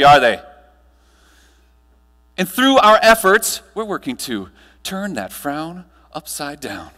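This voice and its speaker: A man with a slightly high voice delivers a motivational conference speech. He uses dramatic pauses and emphatic storytelling. The sound carries the long reverb of a large hall. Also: bright, forceful tone. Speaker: keynote speaker. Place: large room, live audience, amplified.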